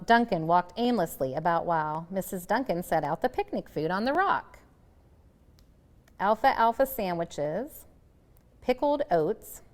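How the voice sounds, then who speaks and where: Female picture-book reader, animated and dramatic, with very varied intonation. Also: fairly low pitch. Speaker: storybook reader. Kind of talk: expressive scripted narration of a children's picture book.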